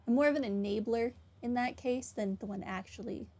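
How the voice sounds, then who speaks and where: A woman's voice: a little high, a little fast, a little mellow. A woman streamer chatting casually and thinking aloud as she plays through a game. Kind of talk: casual gameplay commentary. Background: game music.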